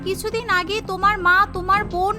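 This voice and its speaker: A woman's voice: extremely high pitch, flat delivery, brisk, over loud music. A woman voicing a meek, polite young bride in a cartoon, modest and deferential. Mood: puzzled. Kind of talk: cartoon character dialogue.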